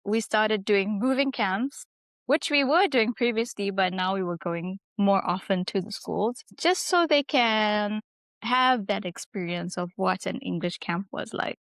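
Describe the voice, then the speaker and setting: A woman's voice: medium pitch, unhurried, fairly bright tone, no room sound. Chatty South African woman. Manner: chatty, laughs easily, many "like" fillers. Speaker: guest, English teacher. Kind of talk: casual interview storytelling.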